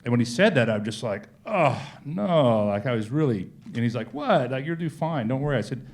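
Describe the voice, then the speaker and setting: A man with a medium-pitched voice speaks as an executive in an on-stage interview. He tells stories in a loose, conversational way. He slips animatedly into re-enacted bits of dialogue as he goes. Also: lively intonation, a little fast, darkish tone. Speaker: company executive being interviewed.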